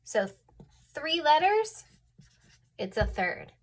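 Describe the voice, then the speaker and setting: A woman's voice: high voice, big pitch swings, slow pace, low-quality recording. A woman teaching an online music theory lesson, a little stuffed up, patiently explaining and often checking in with "okay?".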